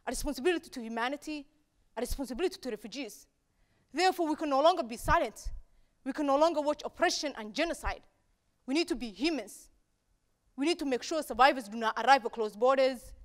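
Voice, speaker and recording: High-voiced Somali woman giving a stage speech. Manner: rhetorical repetition, deliberate pauses. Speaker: speaker at a campus talk event. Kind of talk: prepared public speech. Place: dark stage in an auditorium.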